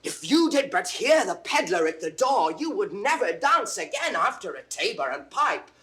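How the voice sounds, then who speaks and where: A man playing an excitable rustic servant bursting with news, loud and exclamatory in comic Shakespearean stage style. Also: sits high, normal speed, heavily acted. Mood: excited.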